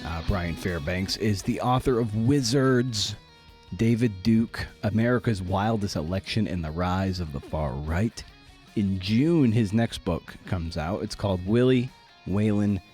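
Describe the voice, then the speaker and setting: A man with a fairly low voice hosts a podcast in a casual, chatty style. His delivery is a little halting, feeling its way from phrase to phrase. Music plays behind him. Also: a little mellow. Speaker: podcast host.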